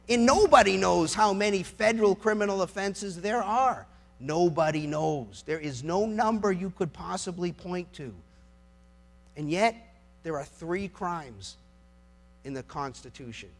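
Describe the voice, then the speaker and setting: A man with a notably high voice delivers a persuasive legal and political speech. He is emphatic and incredulous, leaning on rhetorical questions as he argues like a lawyer addressing the public. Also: creaky. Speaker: lawyer giving a public talk.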